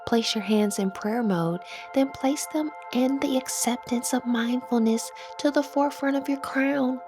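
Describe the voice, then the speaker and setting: A woman leading a guided meditation in a soothing manner, with phrases that trail off into a breathy near-whisper. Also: high voice, a little slow. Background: music.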